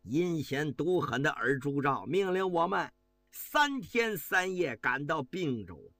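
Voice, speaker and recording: A man's voice: a little high, big pitch swings, takes it slowly, no room sound, faint music behind, full character voice. A man doing a fiery army officer. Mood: impassioned. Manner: fiery, pleading, shouting rhetoric. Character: impassioned male army officer rousing troops.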